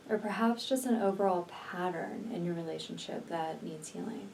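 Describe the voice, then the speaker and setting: A woman leading a guided healing meditation in a slow, soothing, deliberate manner with long pauses between phrases. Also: fairly low pitch, creaky, darkish tone. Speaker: energy healer and meditation guide. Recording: distant mic, faint background noise.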